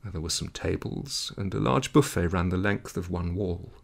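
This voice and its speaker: A man reading a literary story aloud in a measured, dramatic way, with a gravelly, husky voice. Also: low voice. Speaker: audiobook reader.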